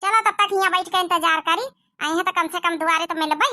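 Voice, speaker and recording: Woman as a shrill, bossy Awadhi cartoon mother. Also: steady tone, brisk, sharp tone, no room sound, clean studio sound. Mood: bossy, expectant. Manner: bossy, homely, nagging. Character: bossy village mother instructing her grown daughter. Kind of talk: Awadhi comedy cartoon acting.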